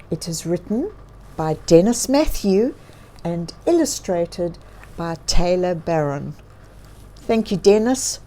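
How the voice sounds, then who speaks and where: Very slow, expressive woman storyteller. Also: medium pitch. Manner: asks listeners questions, expressive, encouraging. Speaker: children's story reader and host. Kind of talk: read-aloud storytelling for children.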